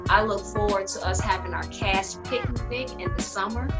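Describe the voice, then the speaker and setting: A woman's voice: mid-range voice, moderate pace, over loud music. A woman hosting a casual cast discussion over a video call from home, speaking in an exuberant, emphatic way and often laughing. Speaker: host, playwright and producer of the cast. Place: at home indoors.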